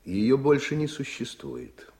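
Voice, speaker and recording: An actor playing a deferential, unhurried male witness in a radio play, with a gravelly voice. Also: medium pitch, animated, darkish tone, soft background music. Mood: reluctant. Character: gravelly, unhurried man testifying to a judge. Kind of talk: Soviet radio play acting.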